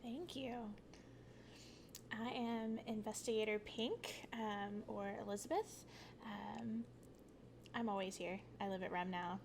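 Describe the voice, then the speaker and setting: A woman's voice: mid-range voice, fairly even intonation, slow pace, creaky, pauses often. Give me a woman introducing her character at a tabletop game, bubbly and offhand. A faint hiss sits behind her voice. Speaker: player, regular of the channel.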